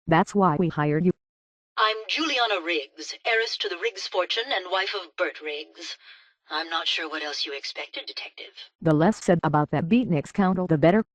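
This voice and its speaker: A woman's voice: fairly low pitch, normal speed, no echo, dull audio. A woman voicing a haughty, petulant rich heiress in a robot body for a video game, with pompous, scornful, theatrical diction through a metallic filter. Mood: haughty, dismissive.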